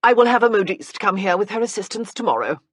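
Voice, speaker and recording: A woman's voice: mid-range voice, lively intonation, fast talker, dry recording, studio quality, pitched above the performer's own voice, lightly acted. A woman voicing a kind, gracious middle-aged duchess in an audiobook. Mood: reassuring. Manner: kindly, explanatory.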